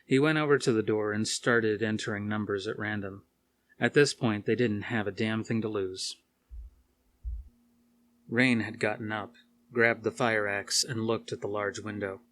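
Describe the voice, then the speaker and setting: A man's voice: on the low side, steady tone, moderate pace, fairly bright tone, slight background noise. A man reading an action horror novel aloud as scripted narration, with a dramatic storytelling manner. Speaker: audiobook reader.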